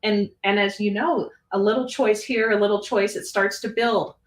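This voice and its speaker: A woman's voice: mid-range voice, steady tone, moderate pace. Encouraging woman coach, echoey distant sound. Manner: encouraging, firm, motivational. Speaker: coach and host. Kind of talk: casual conversation. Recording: echoey room, voice off-mic.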